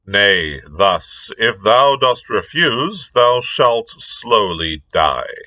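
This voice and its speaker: Grave young king, measured and formal, slow and deliberate delivery, voiced by a man reading a story. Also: on the low side, very animated, sharp tone, exaggerated voice. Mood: stern. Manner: solemn, measured archaic speech.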